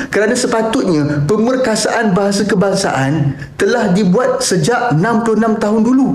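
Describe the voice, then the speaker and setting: A man's voice: high voice, darkish tone, roomy sound. A man with a Malaysian accent giving emphatic, critical commentary. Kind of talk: opinion commentary.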